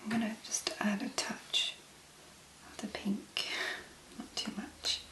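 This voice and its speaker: A woman doing a soft-spoken ASMR demonstration in a gentle, breathy, unhurried murmur with pauses. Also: medium pitch, level tone, echoey room, poor audio. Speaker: ASMR video creator.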